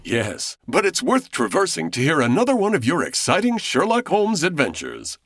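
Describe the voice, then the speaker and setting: Actor playing a jumpy, formal radio announcer, swooping voice. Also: male voice, a little high, wildly swooping pitch, normal speed, a bit bright, no echo, studio quality, exaggerated voice. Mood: courteous. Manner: formal, courteous, flustered. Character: polite, nervous male radio announcer, easily alarmed. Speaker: announcer and interviewer. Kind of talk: old-time radio comedy acting.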